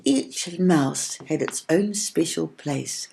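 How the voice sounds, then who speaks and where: Female storybook reader: low-pitched, soft dark tone, creaky edges, expressive delivery of a scripted children's tale. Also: slow, a little hiss or hum. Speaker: audiobook reader.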